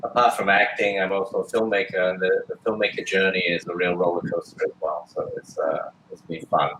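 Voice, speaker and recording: A man, answering interview questions thoughtfully with frequent pauses and restarts. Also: deep voice, little pitch movement, a bit bright, roomy sound, slight background noise, poor audio. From Australia. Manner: thoughtful, hesitant, many fillers and restarts. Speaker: guest actor and filmmaker.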